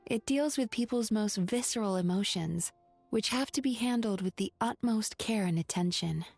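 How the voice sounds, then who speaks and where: A woman playing a composed, caring, gently wry young therapist with a measured, articulate manner in an anime-style video game. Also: mid-range voice, vocal fry, no echo, faint music behind. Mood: thoughtful, caring.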